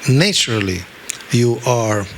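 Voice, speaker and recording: A man from Italy with an Italian accent, giving a scripture class in an animated, self-deprecating way, often checking in with "okay?". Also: medium pitch, measured pace, vocal fry, a little hiss or hum. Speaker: spiritual teacher.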